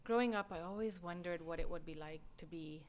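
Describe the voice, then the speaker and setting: Middle-aged woman, lower register, earnest interview guest who explains at length, with a faint hum of room noise. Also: fairly quick. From born in England, raised in Canada. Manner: earnest, explanatory, animated when quoting others. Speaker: guest, photographer and nonprofit founder. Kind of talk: conversational interview.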